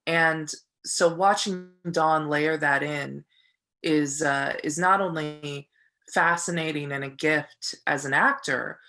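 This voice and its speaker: Bright, forceful voice of an earnest actor. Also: mid-range voice, steady tone. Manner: earnest, thoughtful, measured phrasing. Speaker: stage actor. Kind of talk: reflective interview talk.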